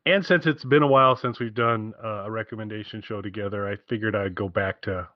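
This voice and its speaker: Low-voiced man chatting on a podcast. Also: a little fast, no echo, highs cut off. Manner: enthusiastic music-fan talk with wry asides. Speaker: podcast co-host giving album recommendations. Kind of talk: casual rambling podcast chat.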